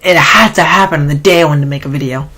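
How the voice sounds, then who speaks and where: A man's voice: a little high, fast, sharp tone. A man with a sore throat and a nasal voice, rambling through a gaming countdown as a YouTuber, often correcting himself mid-sentence. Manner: rambling, self-correcting, complaining. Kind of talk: casual countdown commentary.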